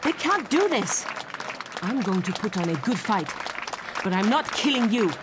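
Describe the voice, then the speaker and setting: A woman's voice: medium pitch, big pitch swings, moderate pace, heavy background noise, close to an everyday voice. Woman playing a defiant, earnest young arena fighter. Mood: indignant, resolute. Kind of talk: dramatic game dialogue. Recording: loud music and crowd behind.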